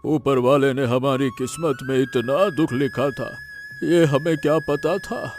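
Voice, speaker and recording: A man's voice: medium pitch, fairly even intonation, measured pace, darkish tone, soft background music, lightly acted. A man playing a resigned elderly village father in a cartoon story, speaking in a lamenting, fatalistic way. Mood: bitter, resigned. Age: older. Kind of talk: Hindi moral cartoon story dialogue.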